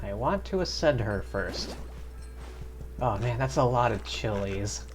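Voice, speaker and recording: A man's voice: fairly low pitch, animated, a little slow, music in the background. A man streaming a video game with casual, off-the-cuff commentary. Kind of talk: casual livestream commentary and reading game dialogue aloud.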